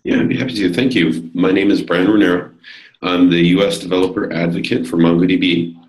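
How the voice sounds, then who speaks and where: A man with a slightly hoarse voice, a guest on a podcast, explaining technical work at an easy pace with many fillers. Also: low-pitched, fairly even intonation, brisk, reverberant, rough recording. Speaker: guest, developer advocate. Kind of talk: casual technical interview.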